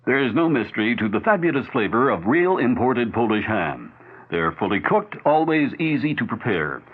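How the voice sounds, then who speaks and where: Male commercial announcer, 1970s radio, animated delivery, rough-edged husky tone, narrow phone-like band. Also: mid-range voice, moderate pace. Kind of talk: scripted 1970s radio ad read. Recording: phone-like old radio broadcast.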